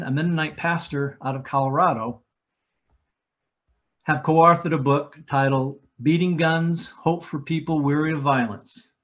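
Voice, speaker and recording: A man, a chapter organizer, reads a measured, formal introduction of a guest speaker. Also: on the high side, a bit bright, dull audio. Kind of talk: reading a prepared introduction.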